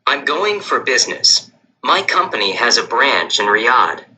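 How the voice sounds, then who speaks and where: A man's voice: mid-range voice, sing-song, unhurried, pushed and bright, a little hiss or hum, heavily acted, rough recording. A man voicing a friendly, confident businessman in a scripted textbook dialogue.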